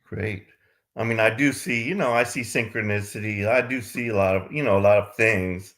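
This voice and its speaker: A man chatting casually as a student in a spiritual discussion, tentative and halting as he gropes for words. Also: on the low side, fairly bright tone. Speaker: student taking part in a spiritual Q&A. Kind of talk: casual chat.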